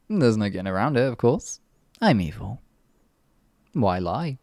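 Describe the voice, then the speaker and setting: Evil sadistic wizard for asmr roleplay, gravelly fry, super dry close sound, smug and theatrical, drags words out. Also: mid-range voice, big pitch swings, darkish tone, no room sound. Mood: gloating cruelty. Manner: theatrical, condescending, drawn-out words with menacing whispers. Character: evil, sadistic, all-powerful sorcerer, gloating and cruel. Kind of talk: ASMR fantasy roleplay monologue.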